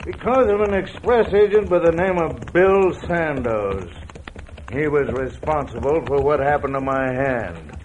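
An actor playing a huge, vengeful, menacing western outlaw in an old radio drama, with an American accent and a gruff manner. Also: male voice, on the high side, animated, a little slow, muffled recording. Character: huge, brutal, vengeful hook-handed outlaw. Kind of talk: 1950s radio western acting. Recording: faintly noisy old radio recording.

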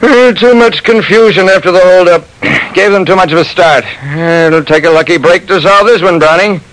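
A gruff, scornful authority figure from classic radio drama. He is blunt and scolding, with a high-pitched, forceful voice. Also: moderate pace, low-quality recording.

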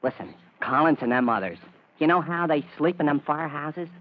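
Bossy young male street hoodlum, played by a man, slangy and scheming; higher-pitched, dulled vintage radio sound. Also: lively intonation, a little fast, natural voice. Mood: bossy, impatient. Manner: tough slangy street talk. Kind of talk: old-time radio drama acting. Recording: muffled old radio recording.

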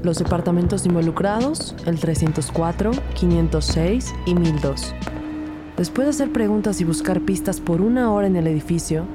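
A woman narrating a scripted audio crime drama, with loud music behind her. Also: lowish voice, normal speed.

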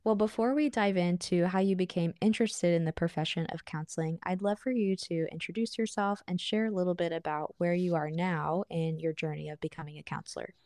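A woman therapist hosting her podcast, reading a scripted intro and then interviewing calmly. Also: on the low side, brisk, few pauses, no room sound, studio quality.

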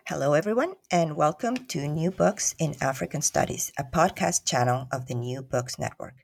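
A woman's voice: low voice, moderate pace, gravelly, darkish tone, husky, faint music behind. A woman hosting an academic book podcast who introduces her guest formally and asks careful questions. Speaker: podcast host. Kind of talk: interview hosting.

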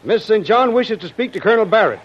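A man's voice: sits high, lively intonation, fast, a little hiss or hum. A man playing an eager, gallant patrol sergeant in old radio drama style. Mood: brisk, official. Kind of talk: 1940s radio acting. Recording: dull old radio recording.

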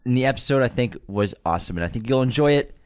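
A man co-hosting a movie news podcast in casual chat, relaxed and quick to laugh. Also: medium pitch, clean tone. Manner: laid-back, laughs often. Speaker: podcast co-host.

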